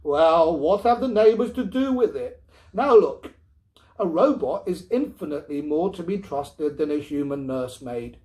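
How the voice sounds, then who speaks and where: A man's voice: on the high side, lively intonation, normal speed, darkish tone, pitched above the performer's own voice, natural voice. A man playing a stubborn, dismissive husband and father in a storybook reading, blustering and emphatic. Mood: insistent.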